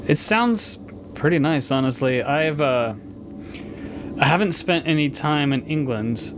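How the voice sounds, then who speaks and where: A man's voice: on the high side, lively intonation, measured pace. A man hosting a casual podcast conversation, asking questions with curious interest. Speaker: podcast host. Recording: audible background noise.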